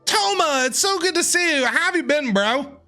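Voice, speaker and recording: A man's voice: very high-pitched, animated, moderate pace, pushed and bright. A man doing casual let's-play commentary, chattily talking back to game characters and repeating himself. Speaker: game streamer. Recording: game music behind voice. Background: video game music.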